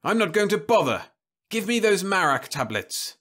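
A man reading a story aloud, voicing a desperate, bitter man who shouts angrily. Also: high voice, sing-song, normal speed, voice pushed up, heavily acted. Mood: angry, frantic. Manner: shouting, savage.

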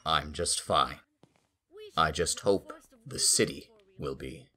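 A man's voice: rumbling low, pushed and bright, heavily acted. An actor playing a cryptic, ominous man in an audio drama, speaking in a portentous manner. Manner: slow, portentous.

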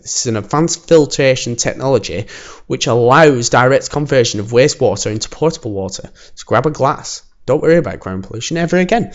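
A man hosts his own let's-play, chatty and self-assured as he clicks through a city build. His voice sounds a little croaky, and the home recording is dull and lo-fi. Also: medium pitch. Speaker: gaming channel host. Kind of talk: casual let's-play commentary. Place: home, alone.

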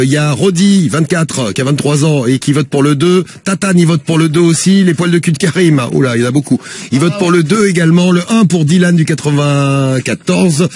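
A male radio host who talks fast and keeps the banter rolling. His voice is slightly husky and fairly high for a man. He teases the team and callers. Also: a little mellow. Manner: joking, teasing, runs the show. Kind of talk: live call-in radio banter.

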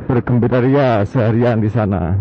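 A man chatting casually and haltingly as he vlogs from a motorbike. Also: mid-range voice. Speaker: motovlogger, host. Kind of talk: casual motovlog chat while riding. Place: outdoors on a moving motorbike at night. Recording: muffled band-limited audio, loud road noise. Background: traffic and vehicle noise.

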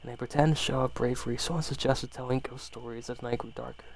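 A man's voice: medium pitch, fairly even intonation, fast talker, vocal fry, a little hiss or hum. A man reading a horror story aloud as an ASMR storyteller, sometimes stumbling and rereading phrases.

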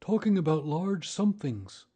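A man voicing a small, humble, slow-witted bear from a children's storybook, speaking simply and earnestly. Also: on the high side, very animated, mellow, husky, pitched above the performer's own voice. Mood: dreamy, hungry.

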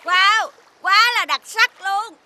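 A woman voicing a bold, restless child inventor in an animated cartoon, brash and expressive. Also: very high-pitched, slow, noisy background. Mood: thrilled. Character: bold, restless child inventor, easily bored, quick to enthuse.

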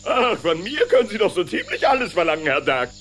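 A man's voice: sits high, big pitch swings, fast, fairly bright tone, a little hiss or hum. A man voicing a dim-witted, eager duck pilot in a cartoon audio drama, hesitant and stumbling. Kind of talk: cartoon radio-play acting.

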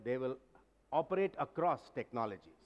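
A man's voice: mid-range voice, lively intonation, moderate pace, lo-fi sound. A man, speaking directly and emphatically as a conference speaker on stage. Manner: direct, emphatic, gesturing while talking. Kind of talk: conference talk and Q&A. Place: large conference hall stage. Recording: long hall reverb.

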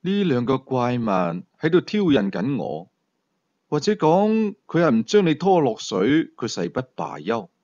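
A man voicing a cool, confident male sleuth in an audio thriller, low and hushed, with slow, deliberate delivery. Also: very animated, no echo, highs cut off. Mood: cold, calm.

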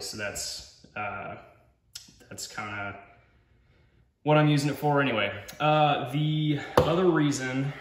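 A man's voice: mid-range voice, fairly bright tone. A man giving a casual hands-on tool review, explaining informally and thinking aloud as he goes, recorded at a garage workbench. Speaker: product reviewer, hobbyist car detailer. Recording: roomy echo, clear background noise, clicks.

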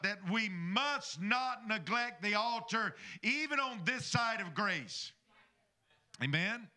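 A man's voice: sits high, sharp tone. A middle-aged man preaching a sermon as pastor from a church stage into a handheld microphone, calling on the congregation for amens. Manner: preaching with call-and-response asides to the congregation.

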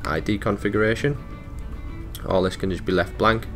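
Deep, gravelly-voiced man giving a tech tutorial. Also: steady tone, moderate pace, a bit bright, faint music behind. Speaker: technical support tutorial presenter. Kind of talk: casual step-by-step software walkthrough.

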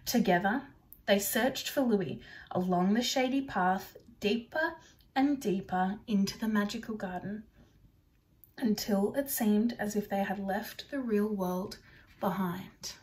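A young adult woman reading a picture book aloud to children in an expressive storytelling style, recorded indoors on a device about arm's length away. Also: medium pitch, unhurried. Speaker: storybook reader for primary children. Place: small furnished room indoors.

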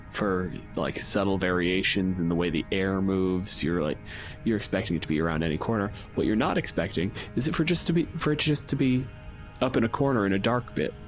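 A man with a fairly low voice serves as game master, describing scenes conversationally. Soft music plays behind him as he guides the tabletop game.

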